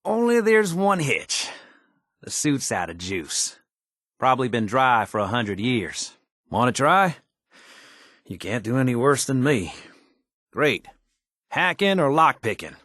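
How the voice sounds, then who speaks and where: A man's voice: mid-range voice, sing-song, slow pace, long pauses, fairly bright tone, no room sound, studio quality, heavily acted. An actor playing an easygoing, wry wasteland handyman in a video game, with a folksy, slangy way of talking. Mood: matter-of-fact. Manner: folksy, colloquial, drawling slang. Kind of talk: video game character dialogue.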